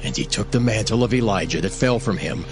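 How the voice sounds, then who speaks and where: A man's voice: lowish voice, fast. A man giving solemn, dramatic scripted narration of scripture as a storyteller. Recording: music behind the voice. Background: prominent background music.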